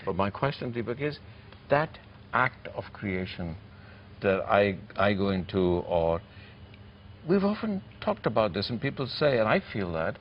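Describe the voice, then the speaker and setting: A man asking a long, searching question and restarting his thoughts as he goes. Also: lowish voice. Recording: dull lo-fi recording with some noise.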